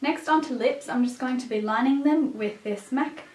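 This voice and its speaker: A woman with a raspy voice, a bit sick with a cough, presenting a makeup tutorial as a beauty vlogger. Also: high voice, moderate pace, a little mellow. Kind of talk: scripted makeup tutorial narration. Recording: roomy echo, distant microphone.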